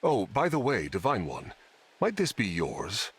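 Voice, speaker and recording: A man playing a dutiful older knight retainer in a video game, husky, with formal and courteous delivery. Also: lowish voice, huge pitch swings, measured pace, full character voice. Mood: courteous, calm. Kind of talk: scripted video game voice acting.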